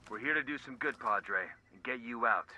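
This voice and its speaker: An actor playing a determined special forces squad leader in a video game, terse and direct. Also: male voice, medium pitch, normal speed, pushed and bright, slight background noise, low-quality recording. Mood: focused. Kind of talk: video game cutscene acting.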